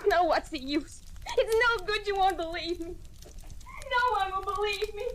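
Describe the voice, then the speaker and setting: High-voiced, frightened radio ingénue. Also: female voice, moderate pace. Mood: despairing. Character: frightened, pleading young actress in trouble. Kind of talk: old-time radio drama acting. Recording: old radio recording with faint hiss.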